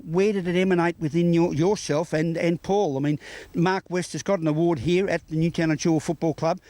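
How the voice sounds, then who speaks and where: A man's voice: fairly high pitch, steady tone, brisk, warm tone, dry recording. An Australian man presenting a local TV news interview, with formal, courteous phrasing.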